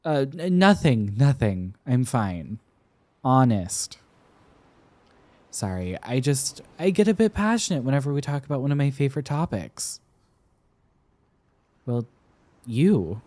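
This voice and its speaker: Casting: male actor as smitten young boyfriend for roleplay ASMR, mellow and unhurried, playful, tender, slightly halting. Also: mid-range voice, big pitch swings, no echo. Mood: flustered. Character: affectionate, teasing young man smitten with an old friend. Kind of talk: intimate roleplay ASMR acting.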